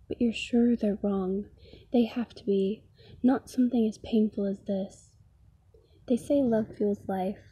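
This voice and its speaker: A woman performing a slam poem in a soft, breathy voice with a solemn, deliberate delivery. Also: fairly high pitch, muffled recording. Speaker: poet. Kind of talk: slam poetry recital.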